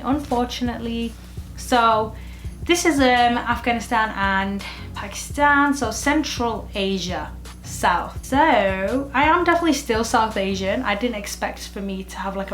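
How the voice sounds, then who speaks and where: A young woman, talking through a casual vlog in a chatty, expressive way and dropping in lots of "like". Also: mid-range voice. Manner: chatty and expressive, with filler likes. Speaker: vlogger.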